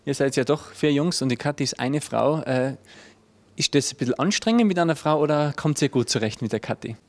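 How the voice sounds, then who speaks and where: A young man hosts a TV music show with an upbeat, smooth presenter delivery. His voice sits in a middle range, and a handheld mic picks him up in a stone-walled music venue. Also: medium pitch, fairly quick. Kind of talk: TV interview hosting.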